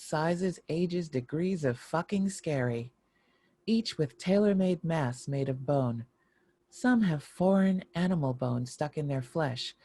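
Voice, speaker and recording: A woman narrates stage directions from a screenplay. Her voice is dark and relaxed, recorded close and dry. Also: deep voice, no room sound.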